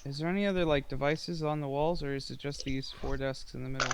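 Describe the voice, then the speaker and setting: A man's voice: medium pitch, steady tone, quick pace, smooth. A man playing a tabletop role-playing game, stating his character's actions matter-of-factly. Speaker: player. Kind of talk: casual tabletop play.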